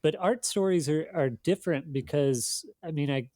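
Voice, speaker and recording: Halting, thoughtful man in casual conversation. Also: a little high, mellow, no room sound, studio quality. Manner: thoughtful, halting, hedging, self-deprecating. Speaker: magazine editor, interview guest.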